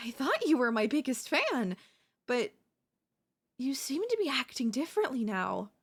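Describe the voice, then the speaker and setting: A woman playing an obsessive female pop idol turned kidnapper in an ASMR roleplay, speaking in a soft, coaxing, doting way with menace underneath. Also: high voice, very animated, normal speed, slightly rough, full character voice. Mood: hurt, insistent.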